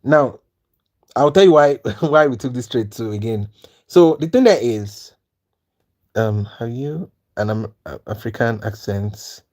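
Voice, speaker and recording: A man's voice: medium pitch, animated, measured pace, darkish tone. Earnest Nigerian man hosting a livestream. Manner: earnest, persuasive, repeats "you understand?". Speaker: trading livestream host. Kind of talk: live-stream talk to chat viewers.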